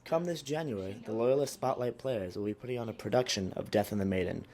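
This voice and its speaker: A man reporting for a student news show in scripted voice-over, brisk read narration. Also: on the low side, darkish tone.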